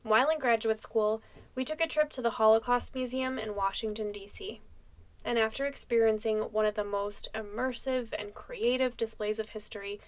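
Higher-pitched young American woman narrating. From United States. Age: young adult. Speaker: podcast host. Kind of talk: scripted narration.

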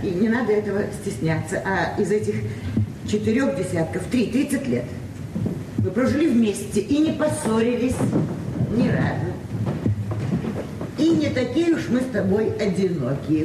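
An actress playing a sentimental, bossy middle-aged best friend in a Soviet radio play, toasting in short emphatic phrases. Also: female voice, medium pitch, lightly acted. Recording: roomy, distant, noisy 1970s radio recording.

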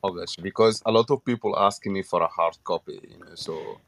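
Deep-voiced man. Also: brisk, gravelly, a bit bright, no echo. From Sudan. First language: Arabic. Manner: eager, repeats words. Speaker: caller. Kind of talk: casual chat.

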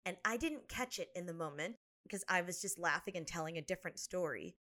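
A woman's voice: fairly low pitch, lively intonation, a little fast, sharp tone. A young woman co-hosting a podcast, telling a personal story in an earnest, reflective way. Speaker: podcast co-host. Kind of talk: casual podcast chat.

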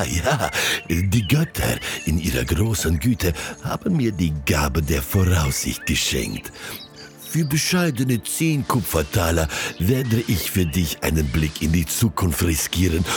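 A courteous southern fortune-teller and pipe seller in an audio drama. His very low voice swings up and down as he speaks slowly and ceremoniously, with ornate turns of phrase. Music plays clearly behind him. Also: big pitch swings, full character voice. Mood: mystical, flattering.

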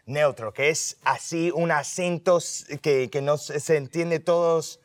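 A man's voice: a little high, measured pace, no echo. A man from the United States, an actor being interviewed, chatting informally and often restarting his sentences. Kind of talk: casual chat.

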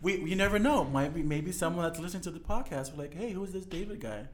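A man hosting a casual podcast chat, upbeat and quick to laugh. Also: high-pitched. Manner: upbeat, laughs often, lively emphasis. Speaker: podcast host. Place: indoor recording space.

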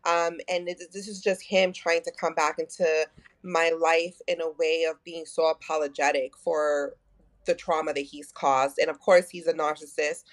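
A woman in her thirties with a Texan accent, talking openly as a podcast guest, full of fillers and acted-out quotes. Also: low-pitched. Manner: open, animated, many fillers, acts out quotes. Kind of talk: candid conversational storytelling.

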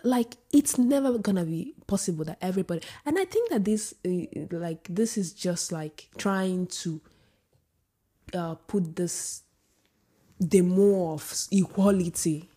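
A woman with a husky voice giving an opinionated vlog monologue, often restarting sentences and rising into emphatic outbursts. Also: on the low side, expressive, a little slow, mellow. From Sweden. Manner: many restarts and filler words. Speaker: vlogger. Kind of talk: casual opinion monologue to camera.

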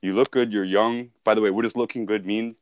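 A man's voice: fairly low pitch, steady tone, brisk, clear, resonant tone, no room sound. A man speaking as a sales mentor in an informal training talk, with an instructive, conversational and emphatic way of explaining.

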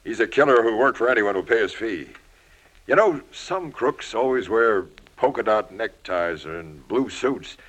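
An actor playing a brisk, methodical homicide captain, official and wry, in an old-time radio crime drama. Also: male voice, on the low side, animated, fairly bright tone. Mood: urgent, wry. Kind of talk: 1940s-50s radio drama acting.

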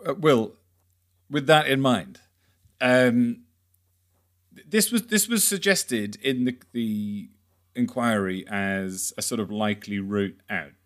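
A man's voice: mid-range voice, expressive, slow pace, pauses often, fairly bright tone. A man hosting an interview podcast, putting questions in a conversational, slightly tentative and roundabout way.

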